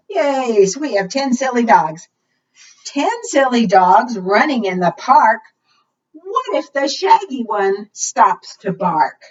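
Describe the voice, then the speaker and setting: A woman hosting a preschool storytime, reading aloud in a sing-song way and putting questions to her young listeners. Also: medium pitch. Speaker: children's librarian and storytime host. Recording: dull, lo-fi sound, distant mic.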